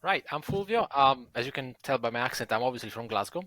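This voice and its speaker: A man's voice: mid-range voice, animated, quick pace, bright, forceful tone, no room sound. A man from Italy, native Italian speaker, with an Italian accent, chatting casually on a podcast and laughing between phrases. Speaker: podcast guest. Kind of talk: casual chat.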